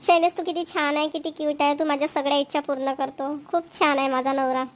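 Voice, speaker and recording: A woman's voice: high voice, little pitch movement, brisk, lightly acted. A woman voicing an eager young wife in a cartoon, coaxing and pleading with her husband. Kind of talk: cartoon voice acting.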